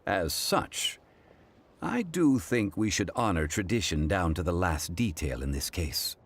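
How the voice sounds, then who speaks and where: An actor playing a composed, scholarly gentleman in a video game, formal, measured and eloquent. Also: low voice, expressive, slight background noise. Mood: calm, formal.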